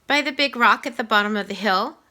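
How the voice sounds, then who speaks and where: A woman's voice: on the high side, brisk, pushed and bright. A woman voicing an eager, bragging schoolboy in a storybook. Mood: aggrieved.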